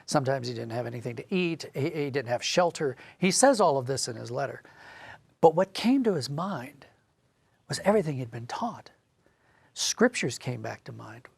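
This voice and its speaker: A man's voice: medium pitch, sing-song, moderate pace, vocal fry, slightly rough, dry recording. A man speaking as an interview guest, explaining and telling stories conversationally with emphatic phrasing. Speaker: guest, audio drama writer and director.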